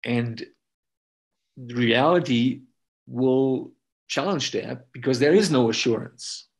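A man's voice: medium pitch, slow, breathy edge. A man in his thirties from Austria, a podcast guest explaining ideas emphatically over a video call, often checking in with "right?". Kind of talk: conversational explanation. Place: indoor room at home.

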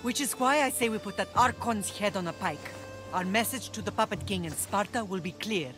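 A woman's voice: mid-range voice, brisk, lightly acted. Resolute ancient rebel woman, female actor: fierce, firm video game delivery over music.

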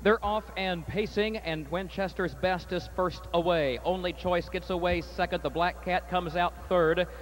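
A man calls a harness race over the track speaker, his voice pitched high for a man. He reads the field evenly and then rises to a near shout as the horses come home. A faint hum of fairground noise sits under him. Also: steady tone, poor audio. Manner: rapid, rising to shouts at the finish. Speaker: track announcer. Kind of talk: harness race call and winner's circle interview.

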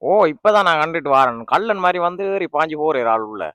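A gossipy older neighbour man, voice dropped far down, as a woman puts him on. He talks fast. The sound is dry and close. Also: low-pitched, lively intonation, fairly bright tone, no echo, voice pushed down. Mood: gossiping.

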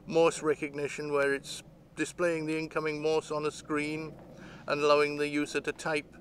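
Man, fifties, fairly high pitch, casual unscripted vlog, halting, rambling, recorded outdoors. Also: a bit bright, slight background noise. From Great Britain. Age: 50s. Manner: rambling, halting, thinking aloud. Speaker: hobbyist vlogger. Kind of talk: casual unscripted talk to camera. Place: outdoors among trees.

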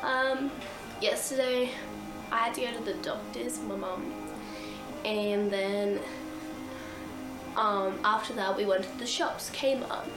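A woman's voice: mid-range voice, steady tone, slow pace, long pauses, reverberant, rough recording. Aussie teen girl w a blocked nose, rambly gushy bedroom vlog, music in the background. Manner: rambling, gushing, self-correcting. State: sick with a blocked nose, losing her voice. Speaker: vlogger. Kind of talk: casual vlog chat. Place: bedroom, indoors. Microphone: camera in front of her.

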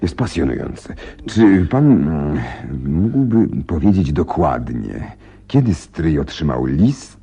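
A very deep-voiced gentleman detective. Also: very animated, breathy edge, heavily acted. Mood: courteous, probing. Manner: deliberate, courteous questioning. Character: composed, attentive, decisive gentleman detective. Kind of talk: radio drama acting.

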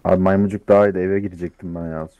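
A man's voice: deep voice, flat delivery, rapid-fire, dull audio. A man chatting casually in an online roleplay game as a compliant, deferential hostage.

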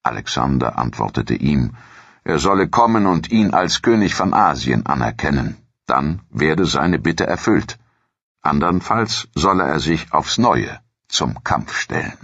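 A man reading scripted history narration as a documentary narrator in a measured, formal, authoritative manner. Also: rumbling low, big pitch swings, fairly bright tone, slightly rough, no room sound, dull audio.

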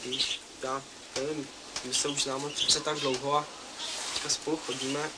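An actor playing a shy young man who talks hesitantly and softly to his girlfriend. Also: mid-range voice, flat delivery, heavy background noise, close to an everyday voice. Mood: shy tender. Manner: hesitant, mumbling, soft.

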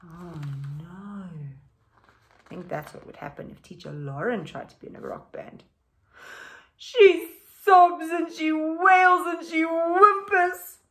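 A woman's voice: very high-pitched, takes it slowly. A middle-aged woman, a teacher, reading a children's story aloud expressively, with questioning asides to young pupils. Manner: expressive, exaggerated, with questions to listeners. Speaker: teacher reading to her class. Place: living room at home.

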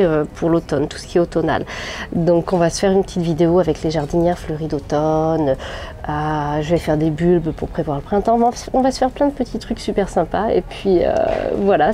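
A woman's voice: fairly low pitch, a little fast, mellow, slight background noise. A woman in her thirties with a head cold, chatting casually with many fillers and restarts as she vlogs a tour of her balcony plants. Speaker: gardening vlogger. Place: small sunny balcony outdoors. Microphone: phone held at arm's length.

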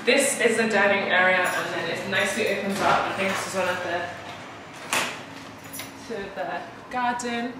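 A young woman talks through a tour of her new home in a chatty, rambling way, leaning on "like" and leaving thoughts half-finished. Her voice sits mid-height and carries clear echo from empty rooms. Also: mid-range voice, moderate pace, noisy background. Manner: chatty, filler-heavy, trailing off mid-thought. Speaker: vlogger. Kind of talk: casual house tour chat. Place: empty new house and garden.

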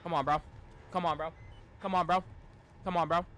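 High-voiced young man with a strained voice. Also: fairly even intonation, normal speed, fairly bright tone, slight background noise. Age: young adult. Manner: slangy, repetitive, exasperated pleading. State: strained voice, losing it, frequent throat clearing. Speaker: live game streamer. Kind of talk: casual live horror-game stream, reacting and talking to chat.

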